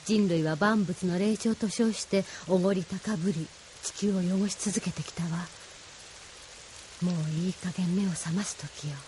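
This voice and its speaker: A woman's voice: on the low side, measured pace, a little mellow, slight background noise, close to an everyday voice. A woman playing an idealistic, solemnly persuasive mentor in a Japanese audio drama, with a didactic, feminine way of speaking.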